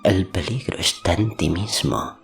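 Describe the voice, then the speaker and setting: A man voicing a sly, servile sorceress in an audiobook, hushed and breathy, insinuating with deference. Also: low-pitched, measured pace, faint music behind, voice pushed up, full character voice. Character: sly, servile female sorceress whispering poisonous counsel.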